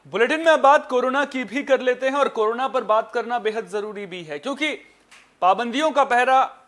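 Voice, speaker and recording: A man's voice: extremely high pitch, moderate pace, a bit bright. A man anchoring a news bulletin, speaking emphatically and often restating his points. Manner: emphatic, repeats and rephrases points.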